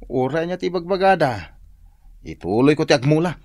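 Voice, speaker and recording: A man playing a stubborn, hopeful farmer in an Ilocano radio comedy-drama, exclamatory with drawn-out endings, in an Ilocano accent. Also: on the high side, very animated, darkish tone, no echo, studio quality. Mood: stubborn, upbeat.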